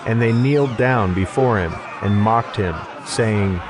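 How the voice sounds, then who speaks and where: A man's voice: on the low side, measured pace, crowd noise, background noise. A man giving a scripted narration of an audio Bible. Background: music behind the voice.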